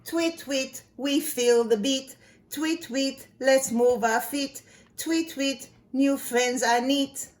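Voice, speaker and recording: A woman's voice: high-pitched, slow pace, voice pushed up. A woman voicing a cheerful little male songbird in a children's storybook, chanting in a rhythmic sing-song. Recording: echoey room with faint background noise.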